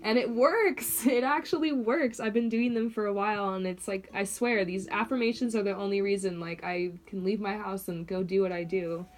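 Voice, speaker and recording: A young woman gives an intuitive reading in a relaxed, rambling way, scattering fillers as she goes. Her mid-range voice has a slight rasp from talking all day without water. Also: mid-range voice, moderate pace, hardly any pauses. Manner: chatty, lots of "like" and "um" fillers. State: slightly raspy, short of water. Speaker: clairvoyant reader. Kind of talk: casual one-on-one tarot-style reading.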